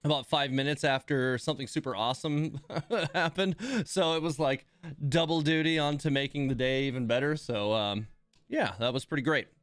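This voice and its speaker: A man gives relaxed, informal commentary as he streams a game. His voice is clear and smooth, with a wry, lightly grumbling way of talking. The sound is dry and close, with soft music underneath. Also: mid-range voice, moderate pace, no room sound. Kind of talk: casual livestream commentary while playing.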